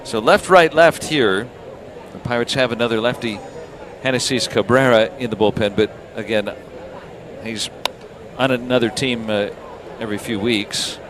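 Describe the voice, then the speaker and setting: American man calling baseball over steady noise. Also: mid-range voice, normal speed. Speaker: baseball play-by-play announcer. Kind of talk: live radio sports commentary.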